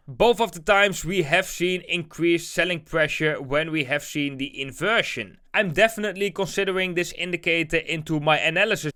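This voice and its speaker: Clear, higher-pitched man explaining charts. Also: pushed and bright. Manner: addresses viewers directly and informally. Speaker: host of a trading analysis video channel. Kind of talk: market chart commentary, explanatory.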